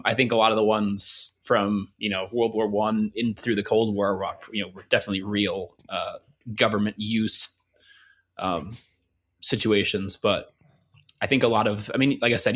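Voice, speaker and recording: A man's voice: fairly low pitch, sharp tone. A man chatting casually as a podcast guest in a loose, informal, joking way.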